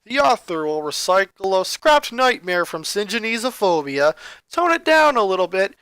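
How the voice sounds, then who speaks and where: A man reading a scripted story aloud as an expressive narrator who sometimes stumbles over words. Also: high voice, normal speed, smooth, no echo. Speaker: fan fiction reader.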